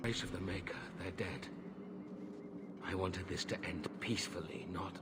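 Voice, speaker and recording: A man's voice: low voice, moderate pace, heavy background noise, lightly acted. A man playing a conscientious, weary Templar knight in a video game, grave and regretful, with a solemn, reluctant manner. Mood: dismayed. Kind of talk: dramatic video game acting.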